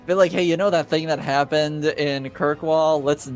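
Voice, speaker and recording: A man's voice: fairly high pitch, steady tone, moderate pace. A man in casual, wry banter as a player on a roleplaying show. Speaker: cast member of a tabletop roleplaying show. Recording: music behind the voice. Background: music.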